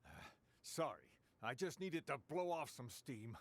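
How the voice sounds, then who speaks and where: A man's voice: on the high side, expressive, a little slow, dry recording. A man playing a testy, pompous theatre manager in a radio drama, emphatic and dismissive. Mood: agitated. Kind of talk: interactive radio drama acting.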